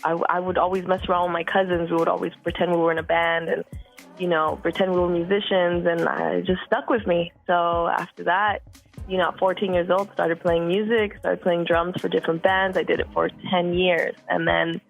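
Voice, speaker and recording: Lowish voice woman from costa rica, laid back, lots of ums, faint music behind. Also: fairly quick, creaky, low-quality recording. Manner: relaxed, repeats words, many fillers. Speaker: musician guest. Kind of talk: casual interview chat.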